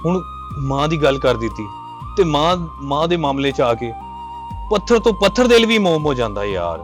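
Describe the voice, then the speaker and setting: A man with a Pakistani Punjabi accent acting a soft-hearted, chatty friend in a casual amateur drama, speaking earnestly. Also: on the high side, sing-song, moderate pace, over music. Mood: compassionate.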